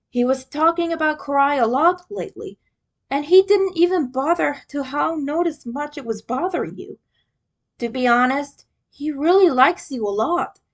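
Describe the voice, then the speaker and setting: A woman narrating a fan fiction story from a script. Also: high voice.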